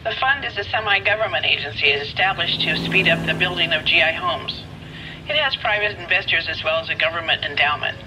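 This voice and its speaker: An actress playing a helpful, formal police operator in a video game, giving information over a phone or radio line. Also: female voice, on the low side, brisk, noisy background. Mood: helpful, official. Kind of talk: video game cutscene acting.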